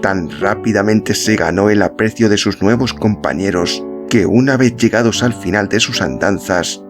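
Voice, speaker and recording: A man's voice: fairly low pitch, normal speed. A man reading a literary story as a scripted narration, in the role of podcast narrator. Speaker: audiobook-style podcast narrator. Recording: music behind the voice. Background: instrumental music.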